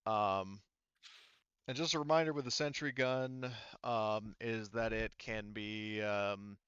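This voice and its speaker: A man running a tabletop roleplaying game, explaining casually and thinking out loud as he goes, often repeating himself. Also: fairly low pitch, fairly even intonation, takes it slowly, clear, resonant tone, no room sound, dull audio. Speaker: game master.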